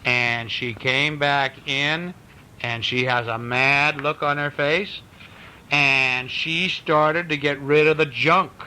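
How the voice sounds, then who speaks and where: A man reading a bedtime story at home in a conversational, expressive way, with casual asides to a child. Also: slow pace. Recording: noisy home recording with low rumble. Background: small child chiming in.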